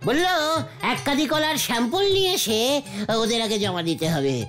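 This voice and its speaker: A woman's voice: fairly high pitch, sing-song, moderate pace. A woman voicing a boastful, scheming boy in a Bangla comedy cartoon, blustering and stammering out excuses with mangled English words thrown in. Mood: nervous, wheedling. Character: boastful, scheming cartoon boy called uncle by his gang. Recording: loud music behind the voice.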